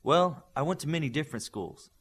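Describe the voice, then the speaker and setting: A man's voice: on the high side, expressive, brisk, a bit bright, slightly rough, pitched above the performer's own voice, heavily acted. A man voicing a poised young female teacher in audiobook dialogue, formal, precise and self-assured.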